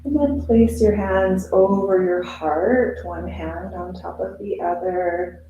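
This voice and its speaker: A woman yoga instructor leading a guided relaxation meditation in a soothing, unhurried, instructional way with long pauses. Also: mid-range voice, muffled recording. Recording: very echoey room with noise.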